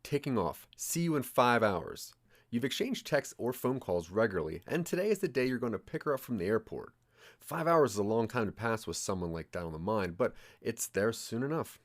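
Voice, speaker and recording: A man narrating a scripted second-person story as the narrator of an audio drama. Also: mid-range voice.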